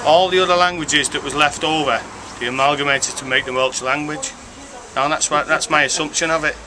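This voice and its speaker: Offhand man, slightly high voice. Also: fairly even intonation, brisk, noisy background. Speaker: interviewee. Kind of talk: casual opinion.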